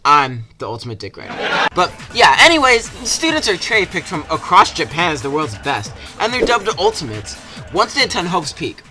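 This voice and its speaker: Guy reviewing games, pretty high voice, super animated and sarcastic, music going behind him. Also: moderate pace. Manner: sarcastic, exaggerated delivery. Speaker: video game reviewer. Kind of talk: comedic scripted review narration.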